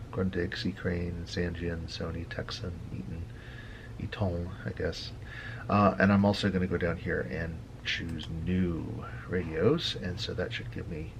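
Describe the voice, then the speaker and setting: Low, gravelly-voiced man explaining casually. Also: noisy background. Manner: halting, with frequent hesitant pauses. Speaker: hobby video host. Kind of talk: casual screen-share tutorial.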